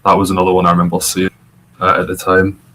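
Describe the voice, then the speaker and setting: A man's voice: sits low, completely flat delivery, quick pace, fairly bright tone, slight background noise. A young man from Scotland, a podcast guest, chatting casually and rambling with fillers. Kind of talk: casual chat.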